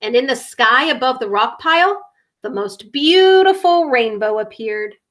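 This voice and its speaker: A woman reads a picture book to children in a high, lively voice. She takes her time and enunciates with dramatic emphasis. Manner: dramatic, deliberate enunciation with emphasized sound words. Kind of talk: expressive children's story reading.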